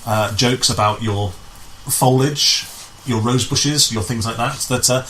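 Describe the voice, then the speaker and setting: Man, interview guest, bright forward voice, halting conversational delivery with repeats, faint noise behind. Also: mid-range voice, poor audio. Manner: halting, repeats himself, often says "as in". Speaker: guest, amateur pantomime writer and director. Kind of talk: casual chat.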